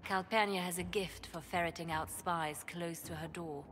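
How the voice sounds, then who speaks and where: Woman acting a cool spymaster, lowish voice. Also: steady tone, lightly acted. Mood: calculating. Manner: measured, intent, secretive. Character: cool, calculating female spymaster. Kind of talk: fantasy video game acting. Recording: noisy echoing hall.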